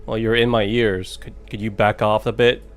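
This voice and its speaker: A man's voice: fairly low pitch, little pitch movement, normal speed. A man streaming a horror visual novel, joking sarcastically back at the game. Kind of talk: let's play commentary.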